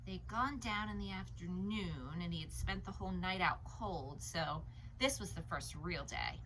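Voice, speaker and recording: A woman narrating a novel as a read-aloud, in an expressive audiobook style. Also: fairly low pitch, unhurried, poor audio. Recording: noticeable background noise.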